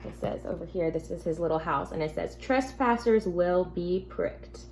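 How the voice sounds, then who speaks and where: A woman teacher speaking gently and simply to young children, reading a picture book aloud at home with casual asides. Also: lowish voice, normal speed, low-quality recording. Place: at home in an apartment. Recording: noticeable background noise, home recording.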